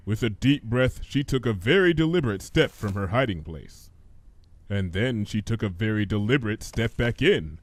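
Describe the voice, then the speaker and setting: A man reading a story aloud as an expressive narrator. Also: fairly low pitch, moderate pace, no echo. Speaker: story reader. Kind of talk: expressive scripted narration of fan fiction.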